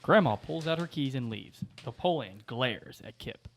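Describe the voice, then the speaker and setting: A man's voice: medium pitch, lively intonation, normal speed. A man narrating the stage directions at a comedy table read.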